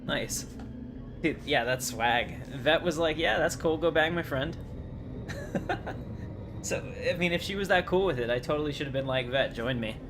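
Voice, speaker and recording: A man's voice: fairly high pitch, moderate pace, long pauses. A man giving casual, chatty game livestream commentary, rambling along in slangy talk. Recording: clearly noisy livestream audio.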